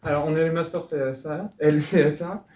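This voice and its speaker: A man's voice: a little high, fairly even intonation, brisk. A man in his twenties from France, a master's student, chatting casually about his studies. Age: 20s. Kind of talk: casual podcast chat. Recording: echoey room with faint background hum.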